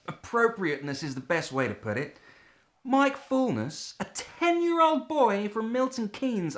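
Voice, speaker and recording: Woman acting a harried, lively British tech employee. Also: medium pitch. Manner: earnest, polite, exasperated. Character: harried, polite tech company employee seeking help. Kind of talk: radio play acting.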